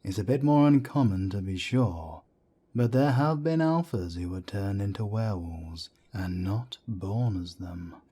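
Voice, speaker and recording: A deep, husky-voiced werewolf elder played by a man in an audio drama. He is a wry mentor who talks slowly and deliberately, with a dark, mellow tone. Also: animated. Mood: grave, instructive. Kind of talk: audio roleplay drama acting.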